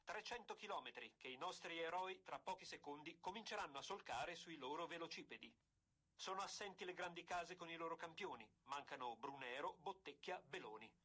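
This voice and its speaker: An actor playing an emphatic 1920s radio commentator who announces a bicycle race in a formal, declamatory way. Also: male voice, on the high side, lively intonation, fairly quick, sharp tone, husky, exaggerated voice. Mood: proud, announcing. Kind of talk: period sports commentary, theatrical radio acting.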